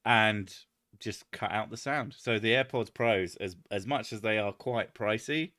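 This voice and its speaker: A man hosting a casual chat, loud and booming, swearing freely as he answers viewer comments. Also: measured pace, no room sound, clean studio sound. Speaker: channel host.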